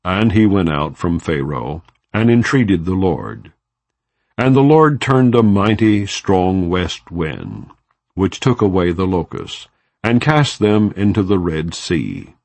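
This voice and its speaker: Man, audiobook narrator, low voice, solemn scripture reading, measured, formal, very dry close sound. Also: sing-song, gravelly, a little mellow, no room sound. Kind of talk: non-dramatized scripture reading.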